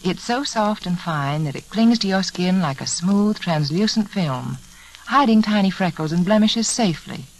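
A woman giving earnest, measured, persuasive advice in a 1940s radio commercial. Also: on the low side. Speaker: beauty adviser in sponsor advertisement. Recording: faintly noisy vintage radio recording.

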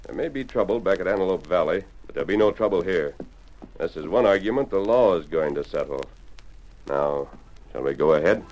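A man's voice: deep voice, lively intonation, a little fast, darkish tone, rough recording. A man acting a commanding, righteous cowboy hero in a radio western, with firm, formal diction. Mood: stern, commanding. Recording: old radio recording with faint hiss.

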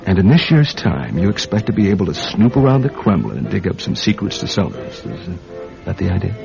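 An actor playing a wry, world-weary American intelligence agent in a radio drama, dry and deliberate as he tells and probes his case. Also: low-pitched, sing-song, gravelly, heavily acted. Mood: dry, probing. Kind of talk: radio drama acting, first-person spy narration. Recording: dull radio recording with music. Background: music.

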